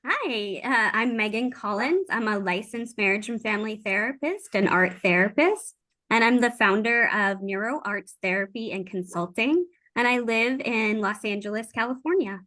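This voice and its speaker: A woman's voice: a little high, animated, normal speed, sharp tone, no echo. A woman introducing herself as a family therapist on a video call, cheerful and brief. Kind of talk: self-introduction.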